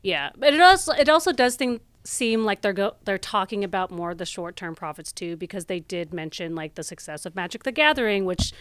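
A woman with a medium-pitched voice co-hosts a gaming news show. Her commentary is casual and chatty, full of informal phrasing, and the recording is close and dry with little room sound. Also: lively intonation, brisk, few pauses, a bit bright, clear, resonant tone, no echo. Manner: informal, peppered with "like".

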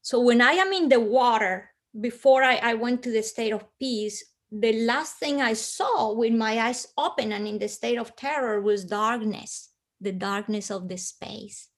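A woman with a Colombian accent, a guest earnestly telling a personal story with pauses and restarts. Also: a little high.

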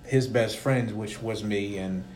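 A man's voice: lowish voice, fairly even intonation, measured pace, darkish tone, slight background noise. A man in a casual talk, telling a story and asking questions easily. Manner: easygoing storytelling. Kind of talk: casual conversation.

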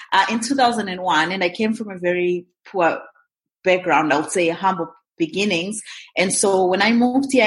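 A woman from Zimbabwe, a guest on an interview podcast, telling her story in a lively way and acting out her own past thoughts. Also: medium pitch. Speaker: guest, real estate investor. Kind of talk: interview answers.

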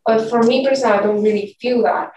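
A woman's voice: on the high side, quick pace, darkish tone. A young woman from Shanghai, a native Mandarin speaker speaking English as a second language, talking in a thoughtful, hesitant way with frequent restarts in a casual group discussion. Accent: Shanghainese-accented Mandarin speaker; English with mixed US and British sounds. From Shanghai, China. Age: young adult. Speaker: discussion participant. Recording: echoey room, distant mic, faint noise.

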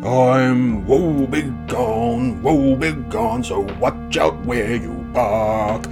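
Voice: mid-range voice, drawn-out words. A grumpy male storybook monster, acted out by a reader. He is taunting and annoying, stretching his words in a sing-song swagger, with music behind him. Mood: boastful, taunting.

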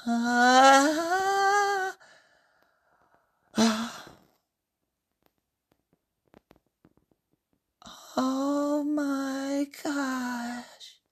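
A woman voicing an excitable, naive, lovestruck young man in a story, exclaiming in an over-eager way. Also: sits high, extremely slow, voice pushed up, heavily acted. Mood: awestruck.

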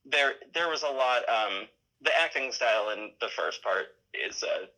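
A man chatting casually as an interview guest, halting and self-deprecating in how he talks. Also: mid-range voice, pushed and bright, poor audio. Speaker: podcast guest, audio drama creator.

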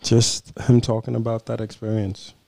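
A man's voice: medium pitch, little pitch movement, moderate pace, vocal fry, warm tone, dry recording. A man co-hosting a podcast, teasing his partner in casual chat with short, dry remarks. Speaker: podcast co-host.

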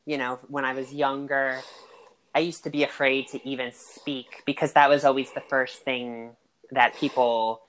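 A man with a high-pitched voice, a podcast guest who talks expressively and laughs easily. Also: little pitch movement, normal speed, a bit bright, poor audio. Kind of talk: podcast conversation.

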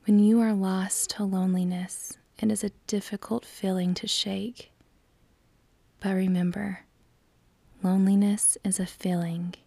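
A woman guides a meditation in a soft, breathy near-whisper. Her delivery is very slow and calm, with long pauses between phrases. The tone stays level and mellow, barely moving in pitch. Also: no echo.

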